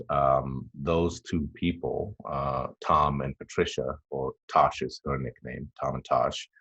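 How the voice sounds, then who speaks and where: A man in his fifties with an extremely deep, husky voice talks in a flat, even tone. He pauses often, restarting sentences as he reflects. The recording sounds dull and lo-fi. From Tanzania. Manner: halting and reflective, many fillers and restarts. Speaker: podcast guest. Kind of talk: casual interview chat.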